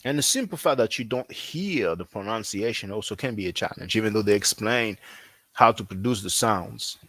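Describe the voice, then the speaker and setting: A man's voice: lowish voice, lively intonation, normal speed, fairly bright tone, no room sound. Dry-sounding man, non-native English speaker. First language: not English. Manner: repetitive, conversational, frequent fillers. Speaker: language teacher. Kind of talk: casual promotional talk.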